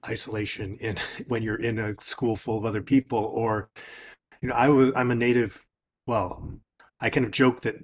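A man's voice: medium pitch, fairly even intonation, normal speed. A man from rural Wisconsin, co-hosting an interview in a self-deprecating, stumbling way with repeated words. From rural Wisconsin, US Midwest. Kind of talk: casual interview chat.

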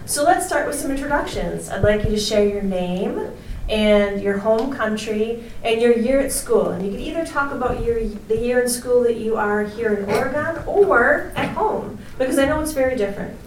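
A woman's voice: on the high side, normal speed. A woman hosting an interview podcast as a school superintendent. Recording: roomy, distant mic, faint noise.

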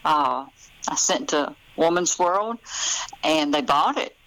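A woman's voice: low voice, expressive, slow pace, fairly bright tone, low-quality recording. An older American woman, telling stories conversationally as an author being interviewed.

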